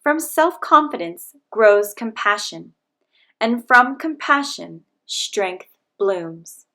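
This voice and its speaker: A woman reading a picture book aloud to children, in a gentle, measured way. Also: medium pitch, expressive.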